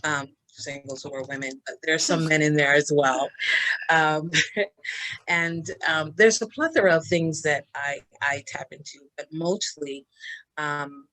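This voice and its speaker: A woman's voice: sits low, a little slow, fairly bright tone, rough recording. A woman from Barbados introducing herself as a guest in an interview, friendly and rambling with many fillers. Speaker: guest, minister and business owner.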